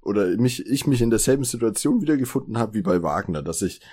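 Low-voiced man chatting on a podcast. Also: animated, fast talker, dark tone, breathy edge. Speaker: podcast co-host. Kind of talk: casual conversational podcast chat.

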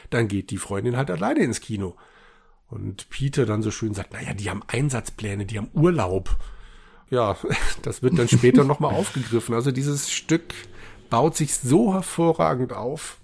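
Male podcast co-host, gravelly rasp, casual and appreciative retelling style. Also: mid-range voice, a little mellow. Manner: appreciative, quotes characters' lines while retelling. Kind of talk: casual discussion retelling an audio drama.

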